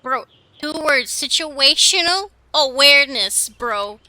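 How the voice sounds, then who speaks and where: A woman's voice: high-pitched, animated, slow pace, pushed and bright, no echo. A woman giving casual reactions while streaming a game, with game music behind her.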